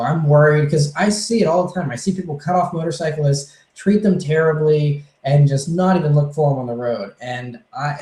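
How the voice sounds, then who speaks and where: Slightly hoarse man in an echoey room. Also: on the high side. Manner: rambling, relaxed, many fillers. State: slightly hoarse, losing his voice. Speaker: car reviewer answering viewer questions. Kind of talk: casual livestream Q&A.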